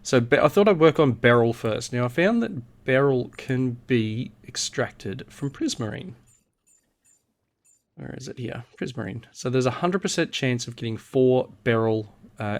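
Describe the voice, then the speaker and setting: A man who is unwell, husky and a little nasal, giving a casual video game walkthrough as host and thinking aloud as he goes. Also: medium pitch. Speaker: host of a gaming series.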